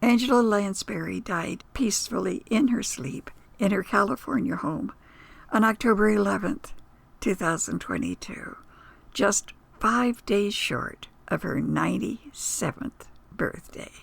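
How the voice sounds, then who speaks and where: A woman with a gravelly, breathy voice reading scripted narration as a presenter in a slow, solemn manner. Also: medium pitch, expressive, dry recording. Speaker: host and presenter.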